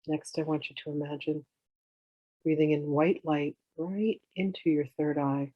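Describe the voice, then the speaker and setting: A meditation teacher guiding in a soothing, unhurried way, with gentle instructions in drawn-out phrases. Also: mid-range voice, steady tone, no echo, dull audio. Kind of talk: guided meditation, slow instruction.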